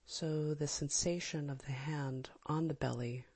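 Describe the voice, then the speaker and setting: A woman leading a guided meditation in a calm, gentle, soft and breathy manner, with long pauses. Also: low voice. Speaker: meditation teacher. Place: live small group session.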